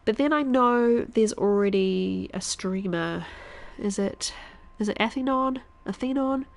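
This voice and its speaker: A woman in her thirties, a stuffy-nosed streamer chatting casually while she plays, thinking aloud and now and then dropping to a quiet whisper. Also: mid-range voice, measured pace, vocal fry. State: congested, stuffy nose. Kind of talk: casual live-stream chat while gaming.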